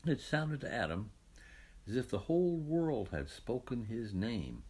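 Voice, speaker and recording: A man narrating a scripted children's story as an audiobook reader, in an expressive storytelling manner. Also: medium pitch, a little slow, husky.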